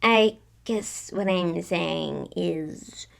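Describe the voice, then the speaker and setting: A woman's voice: fairly low pitch, very slow, gravelly, full character voice. A woman voicing a chatty, eager, earnest teenage girl trainer in a fan fiction story reading. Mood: chatty, grateful.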